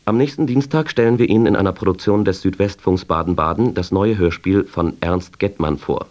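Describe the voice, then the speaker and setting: A man announcing a radio programme preview in a formal, measured, scripted style. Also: sits low, darkish tone.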